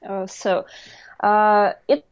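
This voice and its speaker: A woman's voice: medium pitch, little pitch movement, extremely slow, clean tone, dry recording, dull audio. A young adult woman from Russia with a Russian accent, a guest speaking English as a second language in a casual interview, hesitant with many fillers.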